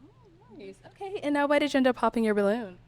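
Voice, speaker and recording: A woman hosting a dating show, upbeat, quick to laugh, leading each contestant through her questions. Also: high-pitched, very animated.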